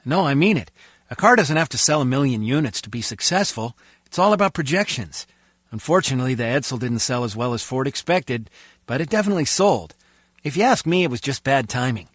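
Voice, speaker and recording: A man's voice: mid-range voice, animated, fairly quick, a bit bright, no echo, studio quality. An actor playing a gabby, opinionated henchman guard in comic video game banter. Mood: chatty.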